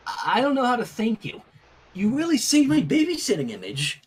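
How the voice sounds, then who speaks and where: A man's voice: very high-pitched, wildly swooping pitch, fairly quick, slightly rough, pitched above the performer's own voice, exaggerated voice. A man voicing an earnest, exasperated young woman babysitter who sounds flustered and huffy in a story reading. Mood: grateful.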